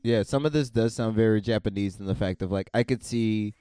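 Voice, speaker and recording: A man's voice: fairly low pitch, a little fast, dark tone, smooth, no echo. A man co-hosting a podcast, giving dating advice in a confident, emphatic way. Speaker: podcast co-host. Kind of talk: casual advice chat.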